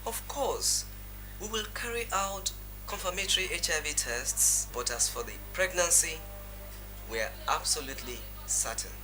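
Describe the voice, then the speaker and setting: A man acting as a formal, matter-of-fact doctor in a film drama, speaking in a measured way. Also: a little high, over music, lightly acted, rough recording. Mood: grave.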